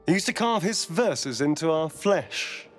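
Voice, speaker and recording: A vain vampire elf rogue for a game. The voice is highish and very animated, unhurried, sly and theatrical, with sardonic flirtation. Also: male voice, soft background music. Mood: bitterly wry. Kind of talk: video game dialogue acting.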